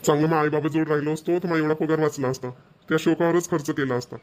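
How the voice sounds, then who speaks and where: A harried young cartoon husband, acted by a man, rattles on quickly in a rather high male voice. His Vidarbha Marathi speech is scolding and exasperated. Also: fairly even intonation, a little mellow, close to an everyday voice. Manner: nagging, complaining. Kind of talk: amateur cartoon voice acting.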